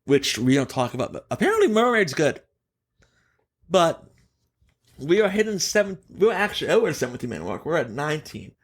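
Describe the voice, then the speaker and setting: Man, slightly high voice, podcast host, casual chat, emphatic, opinionated, self-correcting. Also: sing-song, brisk, breathy edge.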